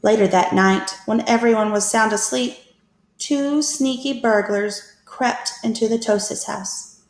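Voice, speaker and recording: High-voiced woman reading a children's story, echoey. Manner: expressive, deliberate storytelling. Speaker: teacher reading aloud. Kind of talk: children's picture-book read-aloud. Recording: echoey room.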